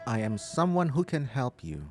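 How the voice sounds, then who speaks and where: A man's voice: medium pitch, big pitch swings, moderate pace, soft background music. A man doing casual live commentary on a game playthrough, sometimes reading dialogue aloud. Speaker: gaming streamer.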